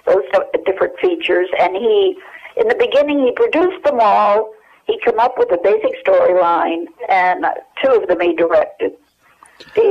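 An American woman in her eighties reminiscing casually and haltingly as an interview guest over the telephone. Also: mid-range voice, breathy edge. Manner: halting, searching for memories. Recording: dull, lo-fi telephone hook-up.

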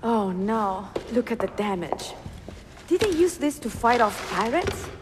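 An actress playing an educated, passionate young Italian bookseller in a video game, articulate and earnest, with an Italian accent. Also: female voice, on the high side, big pitch swings, unhurried, long reverb tail, background noise. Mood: earnest enthusiasm. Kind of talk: video game cutscene acting.

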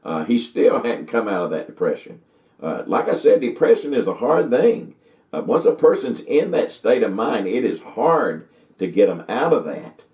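An older man gives a rambling Bible lesson, stumbling into restarts and filling pauses with "uh." His voice sits fairly low, and it comes through thin and phone-like. The room rings with echo, and he sounds set back from the mic. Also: sing-song. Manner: folksy, rambling, with many restarts and fillers. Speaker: Bible teacher. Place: indoor study with bookshelves. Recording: tinny, echoey, from far off.

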